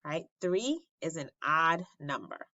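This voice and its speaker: Bright-voiced woman reading a children's story. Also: deep voice, lively intonation, slow pace. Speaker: storybook reader at an elementary school. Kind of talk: expressive read-aloud of a children's story. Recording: roomy, slightly dull lo-fi recording.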